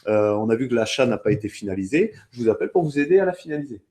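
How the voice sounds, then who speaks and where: Male sales trainer, relaxed mellow tone, middle pitch, conversational, halting step-by-step explanations.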